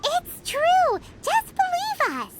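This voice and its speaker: A woman voicing a tiny, blunt, chirpy fairy companion in a video game, with a squeaky childlike voice. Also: huge pitch swings, slow pace, very noisy, exaggerated voice. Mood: excited. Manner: speaks of self in third person, exclaiming.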